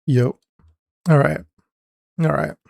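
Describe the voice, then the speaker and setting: A man streaming a game, his voice a little gravelly and dry today, rambling casually and greeting viewers between trailing fillers. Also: fairly high pitch, fairly even intonation, takes it slowly, darkish tone, no echo. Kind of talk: casual live-stream chat.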